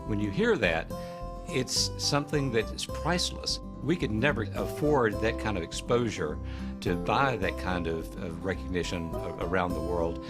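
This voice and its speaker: A man's voice: lowish voice, expressive, moderate pace. A man giving interview answers as a tourism promotion representative. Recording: prominent guitar music behind. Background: plucked guitar music.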